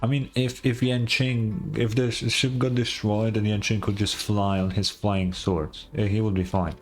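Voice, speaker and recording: A woman's voice: rumbling low, little pitch movement, normal speed, faint music behind. A woman game streamer giving casual, informal live commentary with a wry, sweary manner.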